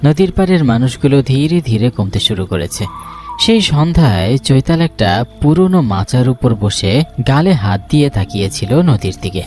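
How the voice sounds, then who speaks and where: A man's voice: medium pitch, very animated, normal speed, a little mellow. A man narrating a scripted ghost story. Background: background music.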